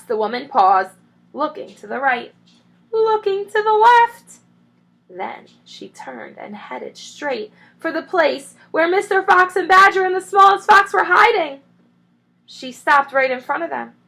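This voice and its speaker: A woman, a teacher, reading a children's story aloud with expressive narration. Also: high-pitched, vocal fry, poor audio.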